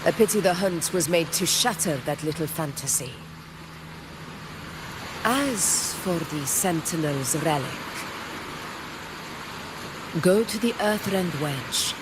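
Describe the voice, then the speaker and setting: An actress playing a proud, commanding gladiator leader in a video game, speaking formally with stately self-assurance. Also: female voice, fairly low pitch, lively intonation, measured pace, background noise. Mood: amused, confident. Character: proud, commanding female gladiator war leader, regal and battle-hungry. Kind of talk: dramatic video game character dialogue.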